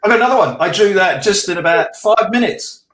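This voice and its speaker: A man's voice: high-pitched, a little fast, noisy background. A middle-aged man chatting casually as a guest illustrator, easygoing, with self-deprecating humour. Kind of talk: casual online live chat. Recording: echoey room sound.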